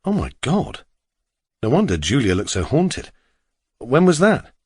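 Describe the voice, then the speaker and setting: A man's voice: medium pitch, huge pitch swings, measured pace, husky, no room sound, studio quality, heavily acted. A man voicing a reserved, terse middle-aged male horror writer in audiobook dialogue. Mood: hesitant, curious.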